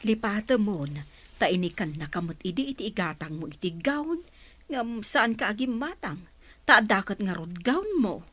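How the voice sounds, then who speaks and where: A woman's voice: mid-range voice, expressive, moderate pace, a bit bright. An actress playing a concerned, questioning mother of a grown daughter in an Ilocano radio drama. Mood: inquisitive.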